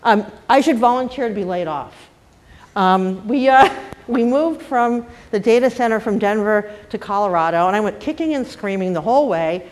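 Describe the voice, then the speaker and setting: Older woman, Boston accent, wry, frank, self-deprecating, mid-pitched voice, candid panel talk. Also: lively intonation, moderate pace, a little mellow. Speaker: panel speaker.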